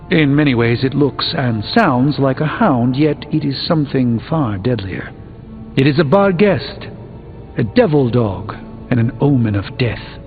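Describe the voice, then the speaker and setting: An actor playing a learned, composed middle-aged sorcerer in a video game, speaking with precise, formal, measured diction. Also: male voice, mid-range voice, phone-quality sound, close to an everyday voice. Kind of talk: video game character dialogue. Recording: band-limited game audio, long reverb, background music.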